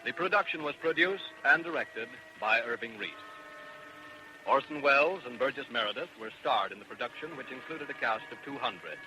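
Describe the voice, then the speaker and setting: A man's voice: mid-range voice, lively intonation, normal speed, over music, rough recording. A man announcing formally in old-time radio style on a vintage broadcast.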